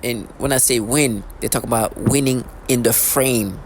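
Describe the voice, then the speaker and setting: A man's voice: mid-range voice, moderate pace, slight background noise. A man in his thirties talking in a rambling, repetitive, agitated monologue as a vlogger. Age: 30s. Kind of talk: unscripted monologue to camera.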